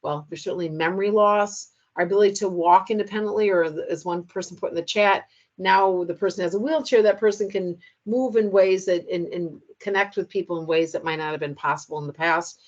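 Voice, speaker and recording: A middle-aged American woman giving an online training lecture in a measured, explanatory way, speaking directly to her audience. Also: lowish voice, lo-fi sound. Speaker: lecturer on loneliness in later life.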